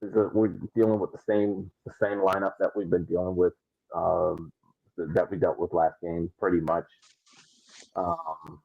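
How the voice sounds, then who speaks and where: A man on a soccer podcast giving a casual match recap in a hesitant, halting way, on a slightly muffled mic. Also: sits low, gravelly, breathy edge, no echo.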